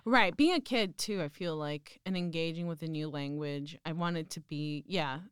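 A woman's voice: sits low, normal speed, clear, resonant tone, no room sound, studio quality. A young woman with a Mexican Spanish accent, speaking Spanish natively and chatting casually as an interviewee, full of "like" and "um". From central Mexico. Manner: rambling, lots of "like" and "um". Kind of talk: casual conversation.

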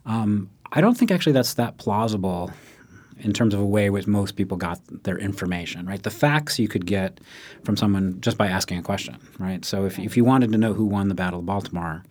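A man explaining music history in relaxed conversation, often checking in with "right?" and acting out quoted speech. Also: lowish voice, a little fast. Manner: animated, acts out quotes in raised voice, frequent "right?".

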